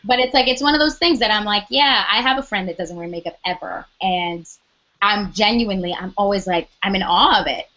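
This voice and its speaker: A young adult woman with a loud speaking voice, chatting animatedly as an interview guest, full of "like" and quoted asides. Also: medium pitch, a little fast, muffled recording. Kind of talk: casual interview chat.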